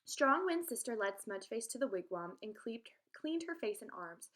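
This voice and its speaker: Female teacher, expressive children's read-aloud, direct address to young listeners. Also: a little high, normal speed, slight background noise. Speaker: teacher reading aloud to pupils.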